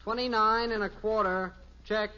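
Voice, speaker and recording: Bossy teen boy, gruff older-brother type, muffled vintage radio sound. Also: high voice, fairly even intonation, moderate pace, bright, forceful tone, slight background noise. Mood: dutiful. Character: gruff, bossy teenage son in family sitcom. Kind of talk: 1950s radio sitcom acting. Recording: muffled old radio recording.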